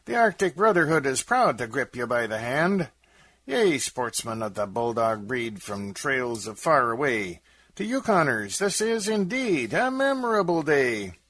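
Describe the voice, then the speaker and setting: A man's voice: mid-range voice, sing-song, unhurried, a bit bright, no room sound, voice pushed up. A man voicing a grandiose, scheming frontier deacon in a comic verse recital, declaiming with pompous mock solemnity. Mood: grandly proclaiming.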